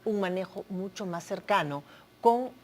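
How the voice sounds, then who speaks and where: A woman, an obstetrician guest on a TV health show, explaining medical care in a clear, methodical way and putting terms into plain words. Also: medium pitch, a little slow, darkish tone. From Peru.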